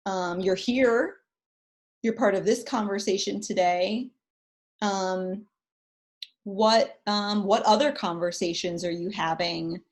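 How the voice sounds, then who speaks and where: A woman therapist hosting a webinar over a video call, at a medium pitch. She talks in a chatty, storytelling way, filling her pauses with ums and likes. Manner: chatty, animated storytelling with lots of fillers. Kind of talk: webinar talk.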